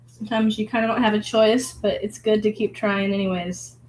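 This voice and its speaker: A hoarse woman, sick at the moment, talking in a casual, rambling and reflective way, full of filler words, as she paints. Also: medium pitch, fairly even intonation, moderate pace, a little mellow, low-quality recording. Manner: rambling, filler-heavy, musing. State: hoarse from illness. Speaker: vlogger painting.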